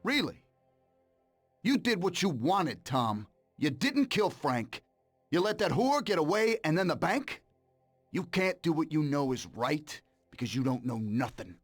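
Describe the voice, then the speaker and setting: Game villain: smug male mobster, mocking and theatrical, lively swings in pitch, faint music behind. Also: measured pace, slightly rough, no room sound, full character voice. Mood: scolding. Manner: mocking, sardonic, menacing. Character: smug, cold-blooded mobster betraying his old partner. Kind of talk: dramatic video game cutscene acting.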